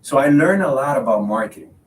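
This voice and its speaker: A man in his thirties from the Republic, a Spanish speaker, chatting in an interview in a halting way, repeating words. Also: lively intonation. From Dominican Republic. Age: 30s. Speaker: podcast guest, realtor. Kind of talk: casual interview conversation. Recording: roomy echo, distant mic, faint rumbling noise.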